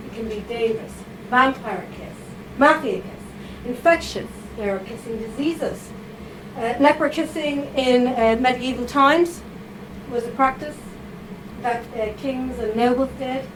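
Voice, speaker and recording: A woman's voice: sits high, unhurried. Chatty woman talking to an audience. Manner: chatty, animated, with frequent fillers and tag questions. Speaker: writer giving a reading. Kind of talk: informal talk to a live audience. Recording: audible background noise.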